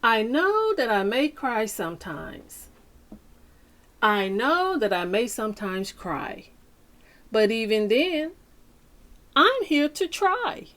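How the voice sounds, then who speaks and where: A woman reading a picture book aloud to children like a teacher, with deliberate, dramatic phrasing and pauses. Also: fairly high pitch, very animated, a bit bright. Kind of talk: expressive children's read-aloud.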